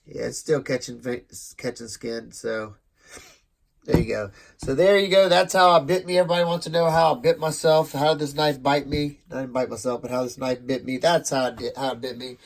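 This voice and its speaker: A man's voice: mid-range voice, fairly quick, vocal fry. A man with a congested, nasal voice casually reviewing a knife in a vlog, rambling a bit and talking straight to his viewers. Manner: rambling, loose, self-correcting, speaks to viewers directly.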